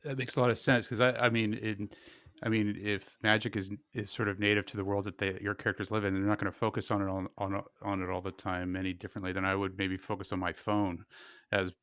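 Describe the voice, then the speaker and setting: A man's voice: lowish voice, little pitch movement, quick pace, fairly bright tone, clear, resonant tone, no room sound. A man hosting a podcast interview in a casual, conversational way.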